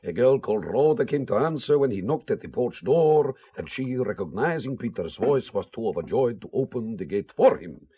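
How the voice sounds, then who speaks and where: An actor playing a courtly, sardonic Soviet colonel in an audio drama, speaking formally and deliberately in a teasingly gallant way. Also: male voice, medium pitch, expressive, mellow, breathy edge, dull audio. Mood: dry, assured. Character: courtly, sardonic Soviet KGB colonel and former interrogator, wooing.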